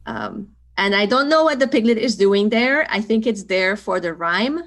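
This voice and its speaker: A woman's voice: medium pitch, animated, moderate pace. A woman from Hungary, speaking English as a second language with a Hungarian accent, talking thoughtfully as a storyteller guest and laughing often. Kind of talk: conversational interview.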